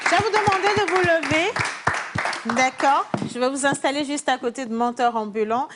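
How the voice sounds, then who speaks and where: Une animatrice de télévision qui annonce les séquences et lance les intervenants d'un ton directif. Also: female voice, high-pitched, normal speed, over a noisy crowd, heavy background noise. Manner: directive, cueing segments. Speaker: TV host. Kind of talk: hosting a live TV show.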